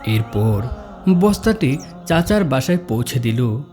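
A man's voice: medium pitch, sing-song, measured pace, warm tone. A man reading scripted narration for a children's moral story cartoon, with music behind him.

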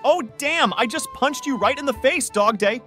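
A performer voicing a brash, excitable young male cartoon chicken in a comic dub, cocky and exclamatory, with music behind. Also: high voice, moderate pace. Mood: gleeful. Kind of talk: comic dub character acting.

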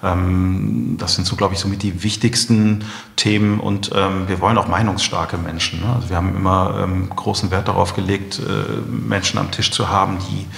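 A middle-aged man with a deep voice full of creak answers as an interview guest. He feels his way through sentences, in a room with long reverb. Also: flat delivery, normal speed, fairly bright tone. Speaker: company co-founder, interview guest. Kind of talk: conversational podcast interview.

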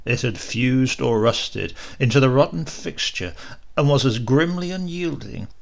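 A man narrating a horror short story dramatically, with deliberate, suspenseful, theatrical emphasis. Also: medium pitch, lively intonation, creaky. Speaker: audiobook narrator.

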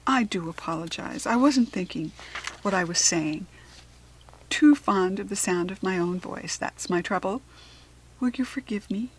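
A polite alien hare from a children's storybook, acted out by a woman reader. The voice is slightly husky and carefully articulated. It talks in a chatty, formal, self-assured way, as if a little superior. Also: medium pitch, animated, unhurried, rough recording. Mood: apologetic. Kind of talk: children's storybook character reading.

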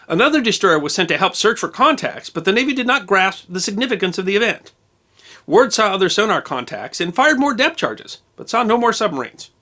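A man narrating a scripted history documentary, measured and precise with dates and figures. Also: sits high, sharp tone.

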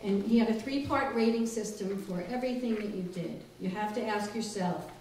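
A woman's voice: medium pitch, moderate pace, darkish tone. Echoey, distant middle-aged woman hosting live. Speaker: library director and show host. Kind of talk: live welcome speech to an audience. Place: small library room indoors with a live audience. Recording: very echoey room, distant mic, faint noise.

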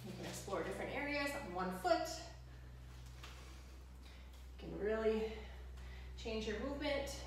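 A woman's voice: fairly high pitch, expressive, brisk, slight background noise. A young woman fitness instructor giving breezy, encouraging workout tips and demonstrating a product kit in a home gym. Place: home gym room with bare walls. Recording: echoey room, voice from a distance.

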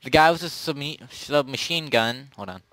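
A man running a tabletop zombie role-play as game master, narrating in a halting, improvised way. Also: mid-range voice, lively intonation, fairly bright tone, no echo. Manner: halting, pieces scenes together as he goes.

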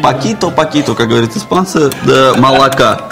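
A man's voice: medium pitch, expressive, brisk, vocal fry, music in the background. A man cooking on a TV show, explaining each step with jokes and telling others what to do. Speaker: guest cook. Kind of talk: cooking demonstration banter. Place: studio kitchen set.

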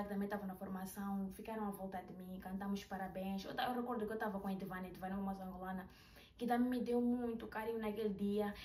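Chatty young woman vlogging in a roomy bedroom. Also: medium pitch. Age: 20s. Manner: chatty, animated, with hand gestures. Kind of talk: casual storytelling vlog. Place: small bedroom indoors. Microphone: camera at a distance. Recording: roomy, a little distant, faint hiss.

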